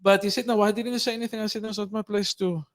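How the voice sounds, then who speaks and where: A man hosting a podcast with a slightly high voice. He tells his story in an animated way and gives each quoted person a voice of their own. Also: very fast. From not Egyptian. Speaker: podcast host. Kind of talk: casual conversation.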